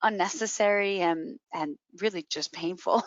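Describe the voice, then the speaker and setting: Female career coach, emphatic explainer, mid-pitched, on muffled webinar audio. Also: moderate pace, no echo. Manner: emphatic, repeats key words for stress. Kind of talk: explanatory webinar advice with question and answer.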